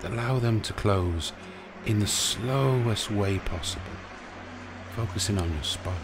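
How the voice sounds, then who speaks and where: A man narrating a guided sleep meditation in a soothing, gentle, unhurried way, leaving pauses between short phrases. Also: lowish voice, background noise. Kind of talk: guided sleep meditation, hypnotic relaxation countdown. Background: music.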